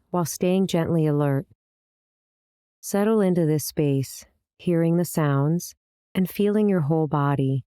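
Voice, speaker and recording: A woman with a low, smooth voice teaches guided meditation. Her delivery is calm and measured, with gentle instructions. The recording is dry and close, without room sound. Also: dry recording. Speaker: meditation teacher, series host.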